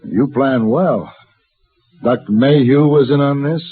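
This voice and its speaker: An actor playing a cool, tough gunfighter hero with a dry, terse, commanding manner in a 1950s radio western. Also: male voice, mid-range voice, measured pace, husky. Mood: wry. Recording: tinny, noisy vintage radio broadcast.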